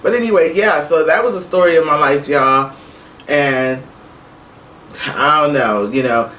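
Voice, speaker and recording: A middle-aged woman telling a personal story casually to her viewers, emphatic and animated, acting out the dialogue as she goes. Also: low voice, measured pace. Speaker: vlog host. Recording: roomy, distant mic with faint noise.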